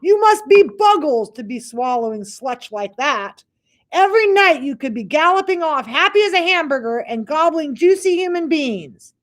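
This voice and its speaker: A woman voicing a huge, greedy, man-eating male giant who blusters loudly in mangled grammar. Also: on the high side, expressive, unhurried, pitched above the performer's own voice. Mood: roaring.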